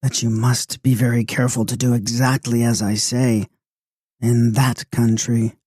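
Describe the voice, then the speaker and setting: A man's voice: medium pitch, level tone, measured pace, a little mellow, slightly rough, dry recording, clean studio sound. A man voicing a mysterious old lady guide who speaks in a repetitive sing-song.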